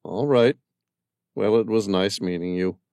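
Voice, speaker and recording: Male reader as a probing stranger; calm, persistent, low-key and gravelly. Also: unhurried, no room sound. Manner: inquisitive, persistent questioning.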